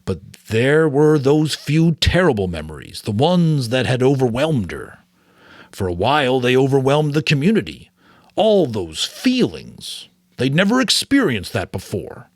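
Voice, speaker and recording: A man voicing a grave, weary, thoughtful old mentor in an audiobook, speaking in a deliberate, solemn way. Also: medium pitch, big pitch swings, dry recording, studio quality, pitched above the performer's own voice. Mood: sorrowful. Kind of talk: audiobook character reading.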